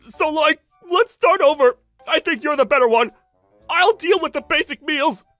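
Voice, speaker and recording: Woman acting a bright, scornful, whiny young husband. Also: medium pitch, big pitch swings, moderate pace, no echo, faint music behind, heavily acted. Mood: pleading. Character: arrogant, whiny, cheating young husband obsessed with fancy food. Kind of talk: manga dub voice acting.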